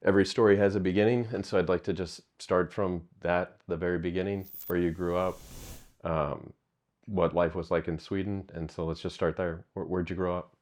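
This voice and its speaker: A man's voice: sits low, steady tone, normal speed, darkish tone. A man hosting a podcast interview, asking friendly, open questions. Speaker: podcast host.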